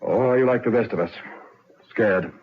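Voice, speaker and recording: Actor as a very raspy, stern prison governor. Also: male voice, medium pitch, normal speed, close to an everyday voice. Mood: brusque. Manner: brusque, commanding. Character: stern, conscience-troubled male prison governor, a Major. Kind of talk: old radio drama acting. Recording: dull old radio recording.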